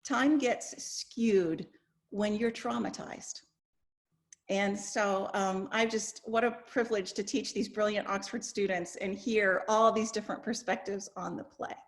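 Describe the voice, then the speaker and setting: A woman's voice: mid-range voice, fairly even intonation, measured pace. A woman, a professor, moderating an informal discussion over a video call. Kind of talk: informal online class discussion.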